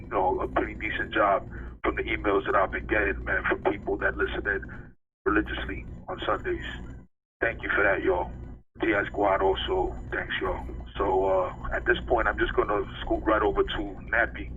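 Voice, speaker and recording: A man hosting a casual call-in live stream, chatty and informal with his guests and listeners. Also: lowish voice. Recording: tinny phone-line sound.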